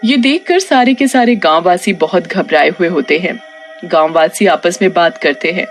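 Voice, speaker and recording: A story narrator delivers scripted lines with lively intonation. The voice sits at a middle pitch over faint background music. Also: moderate pace.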